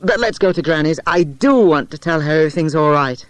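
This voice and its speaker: A man's voice: on the high side, lively intonation, brisk, no echo, voice pushed up, close to an everyday voice, poor audio. A man voicing a plucky, guarded runaway girl disguised as a stable boy in a children's audiobook. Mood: relieved.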